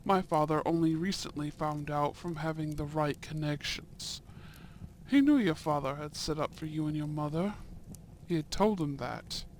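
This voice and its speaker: A man playing a young, courteous and shrewd Edwardian viscount in a romantic audio roleplay, with formal, deliberate phrasing and soft murmurs. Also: a little high, slight background noise, close to an everyday voice, poor audio. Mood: grave, confiding.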